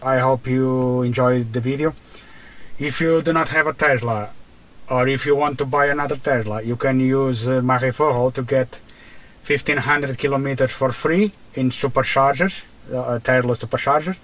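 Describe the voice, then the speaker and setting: A man's voice: mid-range voice, fairly even intonation, moderate pace, a bit bright. A man with a Portuguese accent narrating a car test as a vlogger, hesitant, with many fillers and restarts, recorded inside a car. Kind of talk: casual narration while driving.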